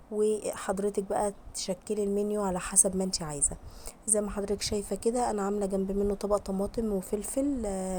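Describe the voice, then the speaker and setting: A woman with an Egyptian Arabic accent, hoarse from a cold, chatting to viewers in a home cooking vlog voice-over and sprinkling in blessings. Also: medium pitch, dark tone, slight background noise. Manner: chatty, addresses viewers directly with frequent blessings.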